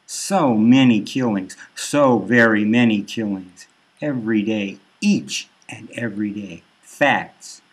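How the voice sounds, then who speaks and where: A man's voice: on the low side, slow, breathy edge, rough recording. A man voicing glib, solemn television news readers with a repetitive, portentous delivery. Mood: grave, portentous.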